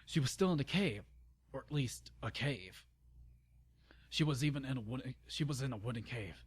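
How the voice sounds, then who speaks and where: A man's voice: mid-range voice, animated, normal speed, slightly rough. A man narrating an amateur dramatic story reading, informal and stumbling, with exasperated, sarcastic asides. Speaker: narrator and channel host.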